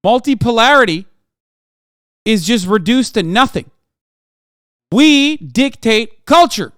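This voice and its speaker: A man's voice: extremely high pitch, a bit bright, clean tone, dry recording, studio quality. A man from Turkey, in his thirties, giving heated, profane reaction commentary as a streamer, lecturing and emphatic.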